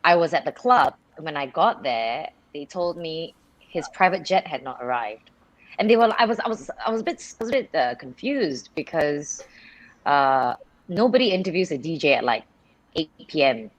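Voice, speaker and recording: A woman's voice: deep voice, animated, normal speed, no room sound, rough recording. A woman from Singapore, a radio presenter, chatting as a guest on a live video call and telling stories with many restarts and laughs. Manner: chatty storyteller, restarts sentences, laughs often.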